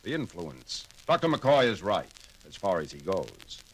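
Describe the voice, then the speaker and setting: An actor playing a calm, logical male science officer who speaks in a measured, precise and formal way. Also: low voice, breathy edge, full character voice, rough recording. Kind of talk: 1970s audio drama acting.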